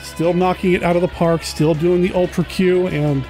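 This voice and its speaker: A male podcast host with a fairly high voice chats informally and with excitement. He addresses listeners directly while soft music plays underneath. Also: moderate pace. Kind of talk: casual enthusiastic chat to listeners.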